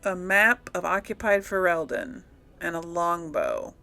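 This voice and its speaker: Slow-paced, musing woman gamer. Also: on the low side, big pitch swings, vocal fry, sharp tone, faint music behind. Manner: hesitant, musing, amused. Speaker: gamer hosting a role-play let's play. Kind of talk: casual game commentary, role-playing dialogue aloud.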